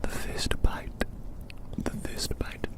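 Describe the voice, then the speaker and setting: A gloating giant speaks in a breathy whisper with no voiced tone, as in an ASMR roleplay. The delivery is playfully menacing and savouring, with phrases repeated as the giant toys with tiny prey. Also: slow. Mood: domineering, gloating. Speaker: ASMR performer.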